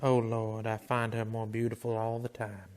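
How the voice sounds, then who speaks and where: A man's voice: on the low side, completely flat delivery, moderate pace, darkish tone, natural voice. A man playing a terse, weary Old West town marshal in a western radio drama, with a guarded, laconic way of talking. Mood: subdued, pained.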